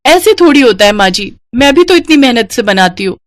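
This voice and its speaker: Woman playing an earnest, expressive young daughter-in-law. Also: a little high, fairly quick. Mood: frustrated, polite.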